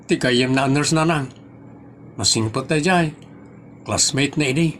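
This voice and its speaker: A man's voice: mid-range voice, unhurried, fairly bright tone, slight background noise, natural voice. A man playing a skeptical, worried villager and son with an Ilocano accent in a comedy audio drama.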